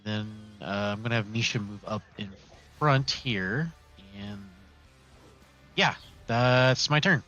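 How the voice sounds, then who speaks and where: A man playing a tabletop roleplaying game, talking casually, on muffled low-fidelity audio. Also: slow pace, bright, forceful tone, faint music behind.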